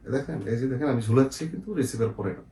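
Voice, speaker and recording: A man's voice: mid-range voice, racing, mellow. A man with a Bangladeshi accent casually presenting and explaining products as a seller, often checking in with the viewer. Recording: echoey room, faint noise, clicks.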